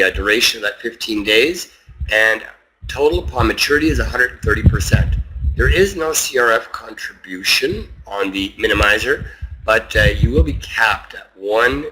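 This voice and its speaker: A man giving an informal, instructional presentation on a conference call, explaining step by step and repeating points for emphasis. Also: lowish voice. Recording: noisy conference call with clicks.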